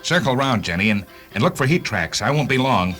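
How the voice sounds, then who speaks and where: A man acting a driven, vengeful hunter in a radio drama, with an intense, emphatic and sometimes halting delivery. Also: medium pitch, lively intonation, fairly bright tone, soft background music, natural voice. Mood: brisk, alert. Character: driven, vengeful male hunter of rogue cars.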